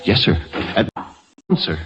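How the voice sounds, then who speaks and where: An actor playing a respectful, eager junior naval officer in an old-time radio drama. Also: male voice, unhurried, lo-fi sound, music in the background, natural voice. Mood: respectful, surprised.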